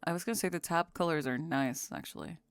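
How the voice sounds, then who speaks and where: A woman acts out an unimpressed goth teenage girl in a scripted ASMR roleplay. Her voice is on the low side, recorded close with no room sound. She is terse and deadpan, needling with sardonic understatement. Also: fast, clear, resonant tone, dry recording. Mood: dry, unimpressed.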